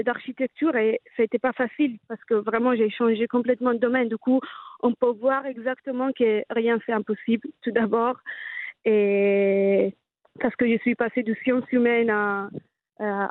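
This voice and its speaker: A woman's voice: a little high, dry recording. A woman in her thirties with an Italian accent, a guest who speaks hesitantly with many fillers and restarts. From Italy. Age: 30s. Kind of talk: casual interview talk. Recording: tinny phone-like line.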